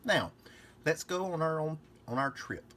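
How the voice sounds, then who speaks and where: A man's voice: a little high, expressive, a little slow, a bit bright, a little hiss or hum, voice pushed up. A man voicing a cheerful, thoughtful flower-friend girl in a children's storybook. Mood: cheerful, explaining.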